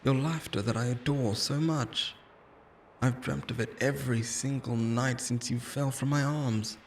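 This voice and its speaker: A man's voice: medium pitch, fairly even intonation, a little slow, slight background noise, close to an everyday voice. A man playing an obsessive, lonely immortal lover in an intimate, theatrical roleplay monologue, pleading and possessive, moving between tenderness and menace. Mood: tender longing.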